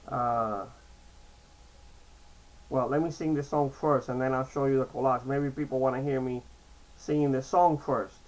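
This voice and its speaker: A man's voice: medium pitch, fairly even intonation, a little slow, pauses often, a little mellow. A man in his sixties from Cuba, talking in a casual, rambling vlog monologue and often checking in with "okay?". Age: 60s. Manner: chatty, digressive, repeats "okay?" and restarts often. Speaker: vlogger.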